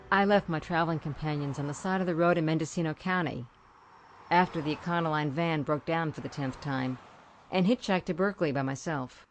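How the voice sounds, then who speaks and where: An older woman with an American accent narrates a scripted personal story as a radio host. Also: sits low. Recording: guitar music behind voice. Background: guitar music.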